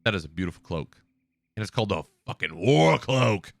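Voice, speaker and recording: A man's voice: on the low side, sing-song, a little fast, creaky, dry recording, faint music behind. A man hosting a podcast alone, talking in a laid-back, casual way and swearing now and then. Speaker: podcast host. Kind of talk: solo casual commentary.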